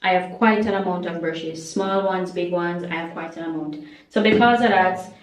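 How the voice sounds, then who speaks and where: A young woman with a Jamaican accent, slightly hoarse, rambling through her day in a casual home vlog with many ums and quiet trailing endings. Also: fairly low pitch, moderate pace, slight background noise. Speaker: vlogger. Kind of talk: casual daily vlog narration. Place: at home, bathroom. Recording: echoey room, voice a bit far from the mic.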